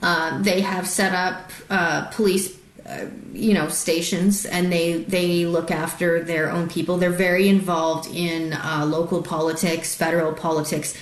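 A woman from Alberta with a lowish voice talks as a talk-show guest, earnest and rambling as she works through her thoughts. Her voice carries a noticeable echo from the room around her. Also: level tone, measured pace. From Canada, Alberta. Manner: earnest, rambling, thinks aloud. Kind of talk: casual talk-show chat.

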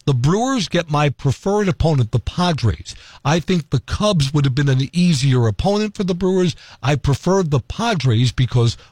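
A man's voice: fairly high pitch, expressive, moderate pace, hardly any pauses, a little mellow, slightly rough, no room sound. A male morning radio news host, chatty and self-deprecating, talking with a voice that is still recovering after he lost it. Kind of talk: casual radio monologue. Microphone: studio microphone.

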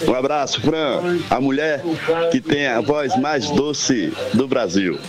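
A man's voice: medium pitch, lively intonation, slow pace, a loud crowd. A Brazilian man speaking as a radio listener in a friendly personal voice message. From São Paulo, Brazil. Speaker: listener sending audio message.

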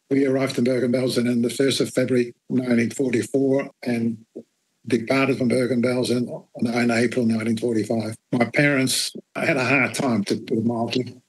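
Give me a husky-voiced man in his eighties. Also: medium pitch, low-quality recording. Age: 80s. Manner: matter-of-fact, blunt, with dry asides. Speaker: interviewee recounting his life. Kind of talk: spoken life story in an interview.

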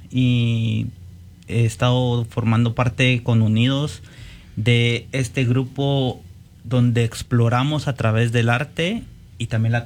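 A man's voice: mid-range voice, slight background noise. A man with a Guatemalan accent, speaking conversationally as a guest painter introducing himself on a panel.

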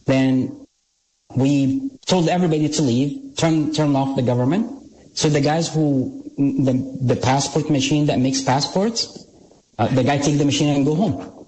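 A man's voice: mid-range voice, unhurried, darkish tone, poor audio. Man recounting field stories, plain-spoken. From Egypt. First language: Arabic. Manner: plain-spoken, frequent restarts and "like". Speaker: guest, former intelligence operative. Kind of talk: storytelling in an interview.

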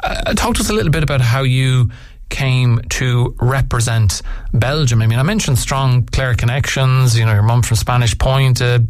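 A man's voice: medium pitch, moderate pace, no echo, studio quality. A man hosting a radio show, talking with many fillers and restarts. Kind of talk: radio interview. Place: radio studio.

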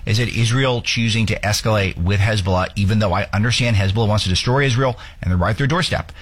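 A man's voice: fairly low pitch, fast, husky. A man from the United States hosting a talk show, giving opinionated political commentary with earnest, stumbling restarts.

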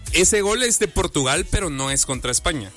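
Male radio sidekick, bright tone, fast jokey replies, music in the background. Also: a little high, lively intonation. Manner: quick joking replies, teasing. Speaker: radio show co-host. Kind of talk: radio banter.

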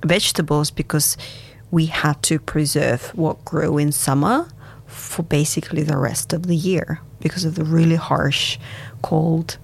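A woman speaks as an interview guest. Her voice sits very low and she talks slowly. She thinks aloud as she goes, with plenty of fillers and restarts. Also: steady tone, gravelly, husky. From Kazakhstan and Russia. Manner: reflective, unhurried, with many fillers and restarts. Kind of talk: conversational interview answers.

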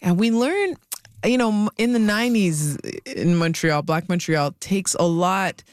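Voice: mid-range voice, animated. A podcast host asking a guest questions and filling in names and details. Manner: encouraging, fills in names and details, quick laughs. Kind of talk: casual interview.

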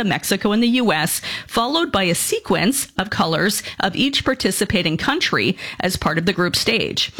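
A woman reading local news and weather on the radio in a steady, measured way from a studio microphone. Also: medium pitch. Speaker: newsreader.

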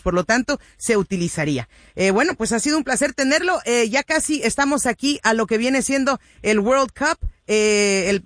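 Fast-talking bilingual woman host. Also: medium pitch, no room sound, studio quality. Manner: summarizes answers in Spanish, many fillers. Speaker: radio host and interpreter. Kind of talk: bilingual interview hosting.

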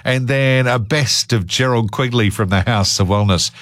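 A man's voice: mid-range voice, moderate pace, very noisy. A man, hosting morning radio and chatting in an upbeat way. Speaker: radio host.

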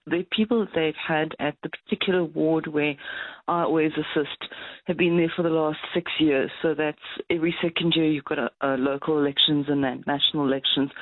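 Raspy-voiced woman on the phone. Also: low voice, no room sound. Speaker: radio caller. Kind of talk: call-in radio comment. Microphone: telephone. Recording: tinny phone line.

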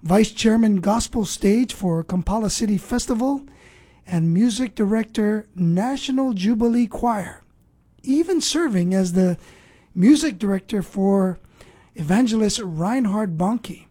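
A woman hosting an interview, asking her guest gentle, probing questions. Her voice is mellow and husky. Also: medium pitch, a little slow. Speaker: host.

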